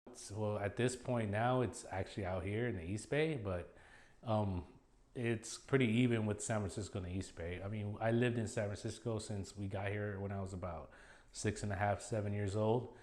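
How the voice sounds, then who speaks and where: A man's voice: lowish voice, moderate pace. Laid-back man in his thirties. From El Salvador. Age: 30s. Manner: relaxed, chatty, with like and you know. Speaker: interviewee. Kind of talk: casual interview answers.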